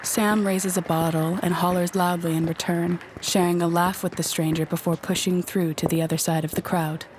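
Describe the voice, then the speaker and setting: Woman narrator for audio drama, slightly low voice, scripted storytelling, noisy ambience behind. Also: level tone, moderate pace, few pauses, a crowd in the background. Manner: descriptive storytelling.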